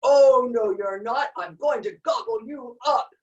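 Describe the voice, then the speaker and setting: A woman voicing a mean, grumpy old troll who yells from under a bridge in a storybook tale. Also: unhurried, distant mic, lo-fi sound, close to an everyday voice. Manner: yelling, booming.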